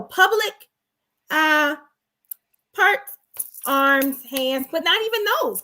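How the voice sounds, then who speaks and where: A woman's voice: high-pitched, a bit bright, low-quality recording. A woman co-hosting a live online talk show, explaining as a sex therapist in an earnest, teaching manner and asking her guest questions.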